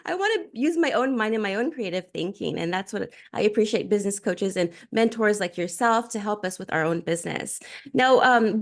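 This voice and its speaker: A woman in her forties hosting a podcast interview, bubbly and quick to laugh and exclaim. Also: mid-range voice. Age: 40s. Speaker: podcast host. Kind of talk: casual interview chat.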